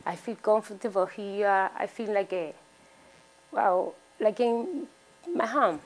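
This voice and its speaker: Woman, 40s, halting answers, lots of restarts, indoor interview. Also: mid-range voice, poor audio. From Dominican Republic. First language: Spanish. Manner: halting, with restarts and repeated words.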